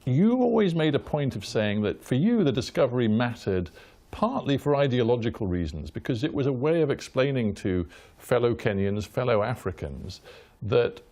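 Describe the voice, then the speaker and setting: A man's voice: mid-range voice, expressive, moderate pace, a little mellow. A man hosting a hard-hitting broadcast interview, asking blunt, probing questions.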